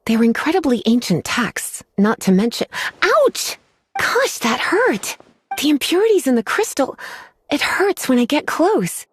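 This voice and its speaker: A woman voicing a languid, teasing witch librarian in a video game, with a breathy, coy delivery. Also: sits high, very animated, measured pace, a little hiss or hum. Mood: pained.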